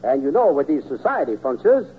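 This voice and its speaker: An actor playing a pompous, fussy fellow who mangles fancy words, prim and earnest, in a 1940s radio comedy. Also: male voice, medium pitch, sing-song, a little fast, narrow, tinny audio, natural voice. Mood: earnest, gossipy. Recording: thin, hissy old radio recording.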